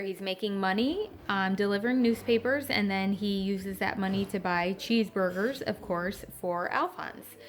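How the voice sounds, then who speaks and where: Woman reading aloud to children, some background noise. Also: medium pitch. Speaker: teacher. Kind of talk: children's read-aloud with explanatory asides.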